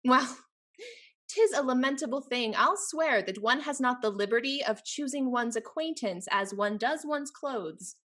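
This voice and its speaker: A woman's voice: high-pitched, lively intonation, measured pace, fairly bright tone, full character voice. A woman playing a spirited, fashionable young heiress in a Restoration comedy, haughty, witty and affected. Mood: witty exasperation. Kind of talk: theatrical Restoration comedy acting.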